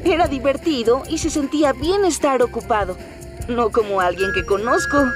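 A woman's voice: sits high, normal speed, over music, natural voice. A woman voicing a cheerful, helpful young male locomotive in a children's cartoon dub, earnest and polite, with a Latin American accent. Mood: cheerful, busy. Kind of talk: animated children's series dub.

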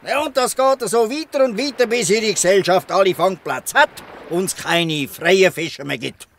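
A man's voice: sits high, moderate pace, a bit bright. A man with a Swiss German accent playing a proud, protective old free fisherman in a radio play. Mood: indignant. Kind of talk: dialect radio play acting.

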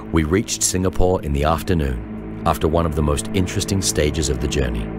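A man with an extremely deep voice narrates a first-person memoir in a measured, deliberate way. It is scripted, audiobook-style reading, with music playing clearly behind him.

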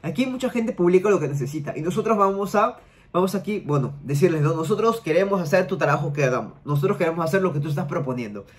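A man's voice: high-pitched, expressive, fast. A young man hosting a screen-share tutorial, giving direct and repetitive step-by-step instructions to the viewer from a small room at home. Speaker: YouTube money-making tutorial host.

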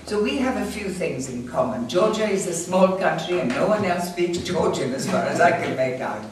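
A woman's voice: lowish voice, lively intonation, moderate pace, a little hiss or hum. An older woman from Wales, a native Welsh speaker, giving a gracious speech to an audience in a small hall, recorded from a distant camera. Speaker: guest speaker. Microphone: far camera, no mic. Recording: echoey room from far off.

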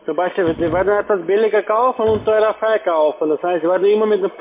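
Male call-center seller, foreign accent, high pitched, narrow phone-quality sound. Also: fast talker, slight background noise. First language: not German. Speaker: telephone sales agent. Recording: tinny phone line.

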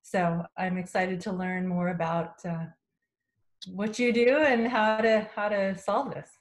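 A woman's voice: medium pitch, steady tone, a little slow. A woman taking part in an online workshop, casually and somewhat hesitantly introducing herself from indoors on a video call. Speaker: workshop participant.

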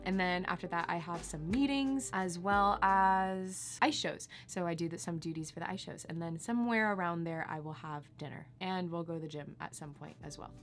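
A woman in her twenties chatting casually to camera as a vlog host, with an upbeat, exclaiming manner. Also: on the low side. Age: 20s. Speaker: vlog host, cruise ship crew member. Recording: music behind the voice. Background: background music.

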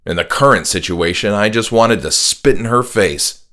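A man reading a scripted first-person horror story as an audiobook narrator, in a measured, dramatic way. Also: fairly low pitch, sharp tone. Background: music.

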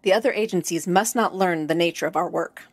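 A woman playing a cold, calculating military commander in an audio drama, stern, measured and condescending. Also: lowish voice, breathy edge.

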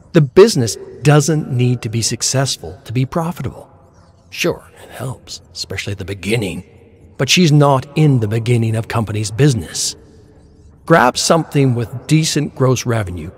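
A man's voice: mid-range voice, sing-song, normal speed, a little mellow. Man narrating a dramatic story.

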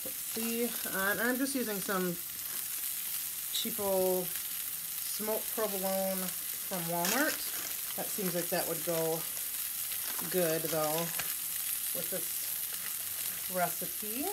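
A woman's voice: fairly low pitch, steady tone, very slow, long pauses, background noise. A middle-aged woman with a Wisconsin accent, chatting casually while she cooks at home, rambling along with plenty of fillers. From Wisconsin, United States. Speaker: home cooking vlogger. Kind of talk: casual cook-along chat. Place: home kitchen and dining table.

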